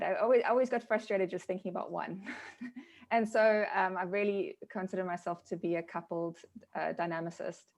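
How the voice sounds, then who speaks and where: A woman's voice: fairly low pitch, gravelly, darkish tone. A woman in her thirties, a scientist introducing her research in a webinar over a video call, speaking with enthusiasm. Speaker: scientist panelist.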